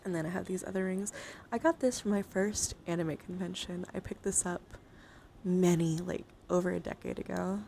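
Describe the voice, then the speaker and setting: Casting: young female ASMR streamer, soft and whispery with vocal fry, rambling and excitable, close mic over some noise. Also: on the low side, normal speed. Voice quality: soft, often breaking into whispers. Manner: rambling, giggly, easily excited. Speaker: live streamer. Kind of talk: casual ASMR chat to viewers.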